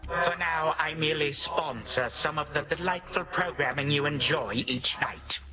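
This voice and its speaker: A man's voice: on the high side, moderate pace, lightly acted. Haughty old titan tyrant played by a man, sardonic and pompous, theatrical game villain, prominent music underneath. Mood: wry condescension. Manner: pompous, sardonic, formal archaic diction. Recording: studio voice over game music.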